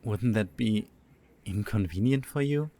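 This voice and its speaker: A man's voice: fairly low pitch, measured pace, mellow. A man playing a polite, tentative young man, a son living at home, in an audio drama.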